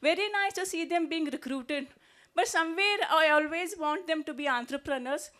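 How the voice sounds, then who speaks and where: A woman's voice: sits high, moderate pace, a bit bright. A woman asks a question at a panel. She sounds earnest and close to pleading. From India. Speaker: audience questioner, teacher.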